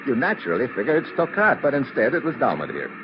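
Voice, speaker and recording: A hard-boiled American investigator in an old radio drama. His delivery is clipped and wry, with very lively swings in pitch. The recording is muffled and clearly noisy. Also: fast. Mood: cool explaining. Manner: clipped, wry, tough-talking. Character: tough, wry male government investigator. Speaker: lead actor in a detective radio serial. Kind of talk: 1950s radio drama acting, hard-boiled narration and dialogue.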